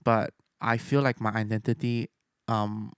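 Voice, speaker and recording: A man's voice: on the low side, steady tone, unhurried, clean tone, no room sound, studio quality. A man with a Singaporean accent talking casually as a musician on a podcast, hesitant and thoughtful, often trailing off between phrases.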